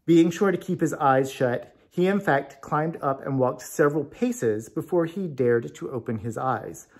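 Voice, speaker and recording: A man reading a storybook tale aloud as narrator, in an expressive scripted style. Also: fairly high pitch, a little slow, husky. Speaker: story reader.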